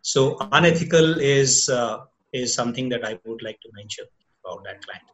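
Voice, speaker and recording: A man's voice: medium pitch, moderate pace, a bit bright. A man with an Indian English accent, a company co-founder answering interview questions in a reflective, slightly philosophical way. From Odisha, India.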